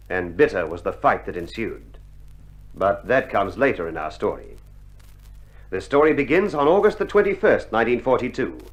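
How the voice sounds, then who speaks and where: Man as solemn Australian war correspondent, measured and oratorical. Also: medium pitch, lightly acted, low-quality recording. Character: solemn, proud male war correspondent narrator. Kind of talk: scripted dramatic documentary narration.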